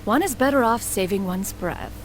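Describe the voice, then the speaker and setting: An actress playing a proud, aloof elder inventor in a video game, speaking in a formal, lofty, archaic manner. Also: female voice, medium pitch, moderate pace, background noise, natural voice. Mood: lecturing, haughty. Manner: formal, haughty, archaic speech calling herself "one".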